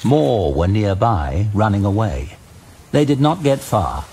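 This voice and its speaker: A man narrating a scripted fantasy tale dramatically, with a measured, grave storytelling manner. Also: fairly low pitch, big pitch swings, a little mellow, husky.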